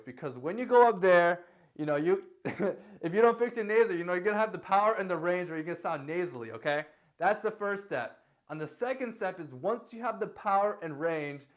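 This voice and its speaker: A young man coaching singers with a fairly high, light speaking voice. He rambles casually, repeating himself and checking in with "okay?" as he explains. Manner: loose, repetitive talk with many fillers and "okay?" checks; sings short lines to demonstrate. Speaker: singing teacher. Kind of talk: casual vocal lesson.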